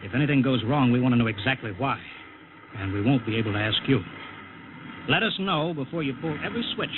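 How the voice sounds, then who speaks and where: A man's voice: mid-range voice, animated, fast talker, close to an everyday voice. An actor playing a male project chief who briefs a test pilot in a 1950s radio drama, urgent and emphatic. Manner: urgent, emphatic, gruffly joking. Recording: lo-fi old radio recording with hiss.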